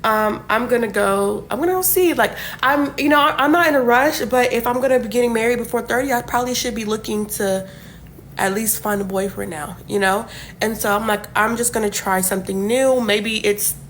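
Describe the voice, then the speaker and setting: A young woman in her twenties rambles through a dating story, piling up fillers and asides. She sounds casual and unscripted. A faint rumble sits under her voice. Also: a little fast. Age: 20s. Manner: chatty, many fillers and asides.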